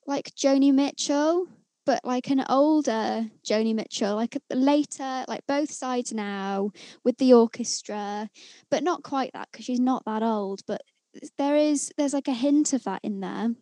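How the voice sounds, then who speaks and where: A woman's voice: on the high side, mellow, no echo. A young woman hosting a casual music review, chatting eagerly and reading from her notes. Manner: chatty, enthusiastic, reads from notes on her phone. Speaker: podcast co-host reviewing songs.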